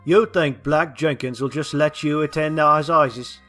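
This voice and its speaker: A man voicing a gruff, commanding captain in a historical story, speaking with urgent force. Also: on the high side, fairly even intonation, normal speed, bright, forceful tone, faint music behind, pitched above the performer's own voice. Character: gruff, commanding army captain escorting a witness. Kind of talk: storybook character dialogue.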